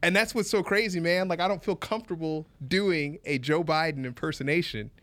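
Animated man, dry close sound. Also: on the high side, a little fast, no room sound. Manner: animated, repeats himself, laughs often. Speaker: podcast co-host. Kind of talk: casual chat.

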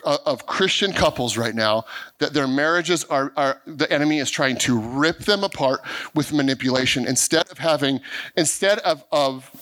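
A man's voice: on the high side, normal speed, a bit bright, poor audio. A middle-aged male pastor preaching earnestly, often restarting and repeating words as he drives his points home. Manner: acts out quoted voices of people he describes. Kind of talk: sermon.

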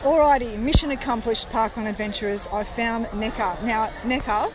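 A woman's voice: on the high side, moderate pace, music in the background, rough recording. An upbeat woman hosting a podcast, reporting and interviewing on location at an outdoor event. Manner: upbeat, chatty. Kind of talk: roving field report and interview. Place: outdoors at a crowded park event. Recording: crowd chatter behind.

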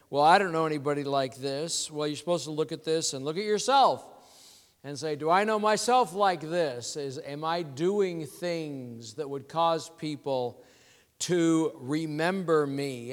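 A congested man preaches a sermon, his voice slightly high for a man and unhurried. He explains scripture patiently, word by word, and the church hall around him rings with a long reverb. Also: clear, resonant tone. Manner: measured teaching, explains words slowly. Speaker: pastor. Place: church sanctuary.

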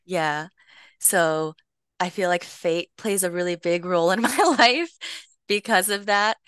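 A young woman from Long Beach, California, a podcast guest telling her story in a relaxed, playful way. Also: on the low side, a little slow, clean tone, no echo. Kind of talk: casual conversation.

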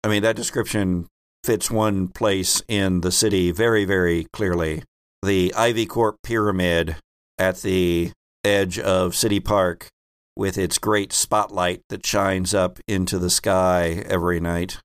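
A man's voice: sits low, fairly even intonation, measured pace, clear, resonant tone, no echo, clean studio sound. A man narrating an improvised tabletop role-playing game as its game master and host.

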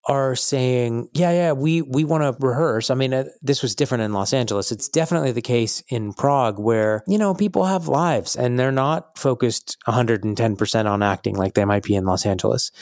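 Forties guy, chatty and animated, acting teacher on a podcast, dry sound. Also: mid-range voice, fairly quick, hardly any pauses, dry recording. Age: 40s. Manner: chatty, lively, animated storytelling. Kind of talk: casual podcast chat.

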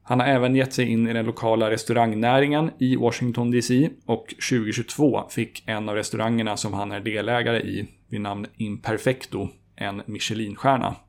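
A man's voice: mid-range voice, little pitch movement, brisk, hardly any pauses. Man reading a podcast intro. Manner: reads a prepared introduction. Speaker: podcast host. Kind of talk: scripted intro narration.

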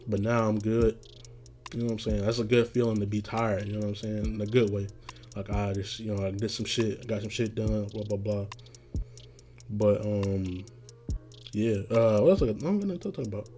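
A young man hosting a casual solo podcast, rambling in a laid-back, slangy way with many fillers, his voice going raspy. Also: fairly low pitch, moderate pace, dark tone, faint music behind. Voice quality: getting raspy. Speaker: podcast host.

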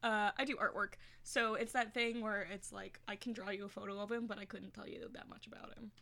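Bright, gravelly-voiced joking woman. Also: mid-range voice, fairly even intonation, brisk. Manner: bubbly, joking, interjects often. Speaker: podcast co-host. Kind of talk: casual chat.

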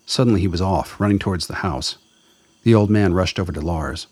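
Very deep-voiced male narrator. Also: a little fast, a little mellow, husky. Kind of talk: scripted narration of a radio drama.

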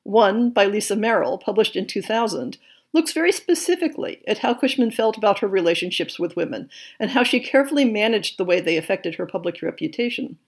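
A woman hosting a podcast, reading a scripted monthly update in a measured, enthusiastic way. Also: medium pitch, expressive, breathy edge. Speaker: podcast host.

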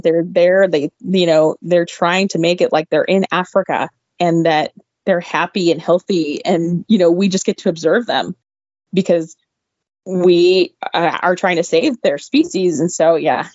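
A woman guest telling an animated story about her veterinary internship, laughing often and breaking into exclaimed asides, her voice a little worn. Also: lowish voice, no room sound. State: losing her voice. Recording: dull, dry lo-fi audio.

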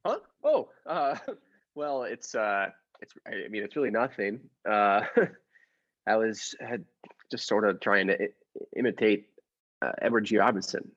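A man's voice: fairly low pitch, measured pace, fairly bright tone, dull audio. A man playing a meek daydreaming clerk who puts on a swaggering movie gangster, in a comic radio play. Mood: sheepish, flustered. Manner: stammering when meek, clipped gangster swagger when posing. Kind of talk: improv podcast performance of classic radio comedy play.

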